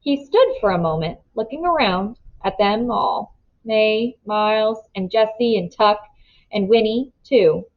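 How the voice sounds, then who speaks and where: A woman's voice: mid-range voice, lively intonation, unhurried, a little hiss or hum. Woman narrating a storybook, slightly muffled. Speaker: read-aloud narrator. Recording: slightly dull, lo-fi sound.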